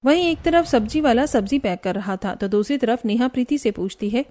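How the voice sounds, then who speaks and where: A woman's voice: a little high, expressive, brisk, mellow, soft background music. A woman reading scripted narration for a cartoon story.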